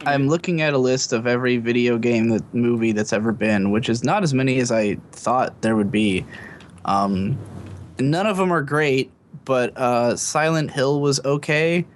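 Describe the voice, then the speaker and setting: Young man, podcast co-host, rambling casual chat, mid-pitched voice. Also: normal speed, a little hiss or hum. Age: 20s.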